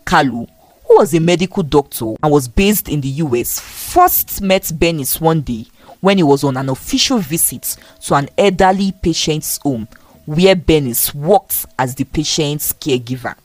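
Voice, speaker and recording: A woman's voice: low-pitched, sing-song, normal speed, a little mellow, dry recording. A woman telling a scripted folktale as a dramatic storyteller.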